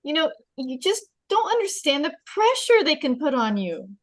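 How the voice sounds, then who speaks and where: Casting: actress as argumentative, conceited popular teen girl, fairly high voice, table-read drama. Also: sing-song, moderate pace. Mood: upset. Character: popular, conceited, defensive teenage girl, bluntly honest. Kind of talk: table read of a film script.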